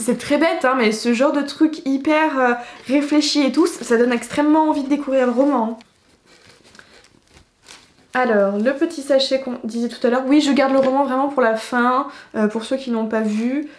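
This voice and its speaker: A young woman chatting casually to camera as a book vlogger, playful and expressive, with a slight head cold. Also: a little high. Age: young adult. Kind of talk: casual unboxing chat to camera. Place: small indoor room with bookshelves. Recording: roomy echo, faint background noise.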